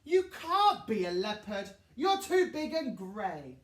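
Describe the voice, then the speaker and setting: Storybook leopard, woman reader: teasing, dismissive, unhurried and animated, recorded at a distance in a live room. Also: a little high. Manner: blunt, teasing. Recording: echoey room, distant from mic.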